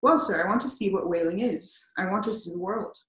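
A woman's voice: mid-range voice, fairly quick, a bit bright, close to an everyday voice. Polite earnest young man, as a woman reads him, roomy sound.

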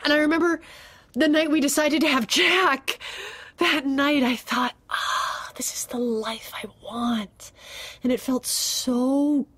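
An actress playing a wife who is confused and anxious about her feelings, halting at times and bluntly teasing at others, in an audio drama. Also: sits high, steady tone, fairly bright tone. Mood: wistful, blunt. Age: 40s.

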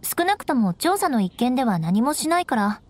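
A woman's voice: sits high, big pitch swings, normal speed, darkish tone, no room sound. An actress playing a proud, cool young noblewoman in an anime game, composed and instructive with a haughty edge.

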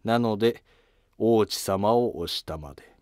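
A man's voice: lowish voice, a little slow, darkish tone, no echo, natural voice. Elderly royal court minister, played by a man: shrewd, formal, deferential, historical drama, dry recording. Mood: grave, resigned.

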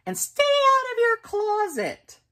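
A woman voicing a bossy mother cat who scolds her kitten in a loud, high voice. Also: big pitch swings, slow, heavily acted. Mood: lecturing.

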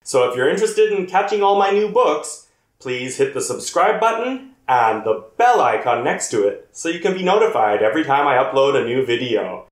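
A man's voice: mid-range voice, very animated, moderate pace, reverberant. A middle-aged man, a teacher, giving an upbeat introduction to a book he reads aloud for children.

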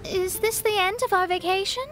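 A woman's voice: very high voice, fairly even intonation, fairly quick, over music. A woman voicing a proud, touchy young female astrologer in a video game, articulate and self-important. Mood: inquisitive, vexed. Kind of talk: video game character dialogue.

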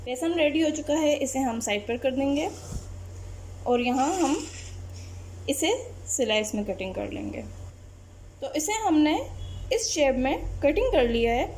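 A woman, narrating a home cooking recipe step by step in a calm voice-over as channel host. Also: high-pitched, a little slow, pauses often, slight background noise.

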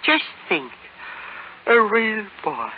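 Slow, wistful old woodcarver. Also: male voice, sits high, huge pitch swings, heavily acted. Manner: wistful, unhurried. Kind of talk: 1930s radio acting. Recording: tinny, faintly noisy 1930s radio broadcast.

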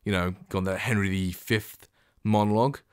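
A man's voice: steady tone, normal speed, clean tone, no room sound. Young man chatting in a dry studio. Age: young adult. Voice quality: deep voice. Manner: chatty, rambling, self-correcting. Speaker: vlogger comparing microphones. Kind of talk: casual talk to camera. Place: small treated home studio with acoustic foam. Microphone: studio condenser and shotgun mics close up, reflection filter.

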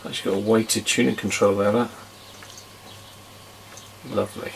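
Middle-aged male, deep and creaky, casual hobbyist demonstrating at a workbench, muttering unhurriedly. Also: level tone, moderate pace, a little hiss or hum. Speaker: vintage radio hobbyist presenter. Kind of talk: casual hands-on demonstration commentary. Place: small indoor electronics workbench.

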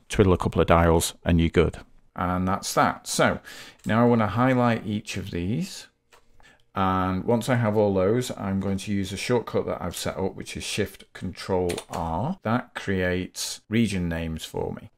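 A man explaining a software workflow step by step in a methodical, unscripted tutorial manner. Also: deep voice, normal speed. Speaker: tutorial host, game developer.

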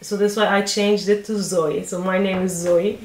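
A woman farmer from Turkey with a Turkish accent, speaking thoughtfully in a casual interview and pausing with fillers. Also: mid-range voice, little pitch movement, darkish tone, roomy sound.

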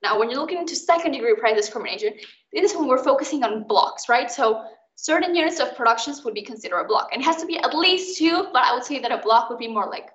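A woman's voice: sits high, lively intonation, fast, a bit bright. A young woman from Mexico teaching an informal online lesson, chatty and explaining with everyday examples. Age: young adult. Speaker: student tutor leading an exam recap. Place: indoors, online video call. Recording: muffled, roomy online call recording.

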